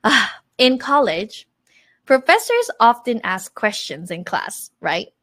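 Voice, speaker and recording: A woman's voice: fairly high pitch, wildly swooping pitch, takes it slowly, fairly bright tone. A woman in her thirties teaching an online language masterclass in an encouraging, motivational way, acting out her own past words as she goes.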